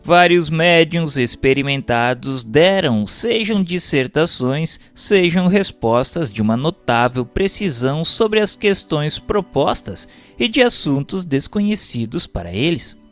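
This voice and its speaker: A Brazilian man reading formal scripted narration aloud in a measured, declamatory way, like an audiobook. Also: on the high side, expressive, a bit bright. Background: music.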